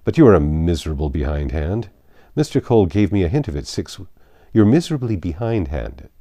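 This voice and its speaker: A man acting a frank, emphatic country gentleman. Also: low voice, big pitch swings, brisk, no echo, studio quality. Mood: brusque, flustered. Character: frank, composed English country gentleman, earnest and brusque.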